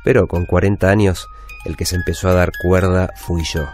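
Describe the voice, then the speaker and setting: Low-voiced wistful Argentine bookshop owner. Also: male voice, little pitch movement, moderate pace, a little mellow. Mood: wistful, reflective. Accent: Argentine Rioplatense. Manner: reflective, storytelling, self-correcting. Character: wistful forty-year-old bookshop owner, routine-bound daydreamer. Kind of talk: first-person radio drama narration. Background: music bed.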